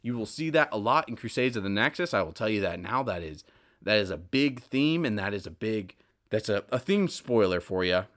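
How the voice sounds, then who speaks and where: A man's voice: on the low side, animated, brisk, fairly bright tone. A man hosting a podcast, speaking casually and reflectively in an earnest, motivational way with emphatic repetition. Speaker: podcast host.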